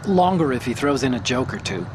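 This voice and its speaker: An actor playing an eager male hacker who explains things quickly over a radio link. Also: medium pitch, big pitch swings, noisy background, close to an everyday voice. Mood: explaining. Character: chatty male tech support hacker.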